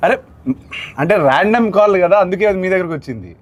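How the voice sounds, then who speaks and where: A man's voice: sits high, sing-song, fast. A man chatting casually in short interjections.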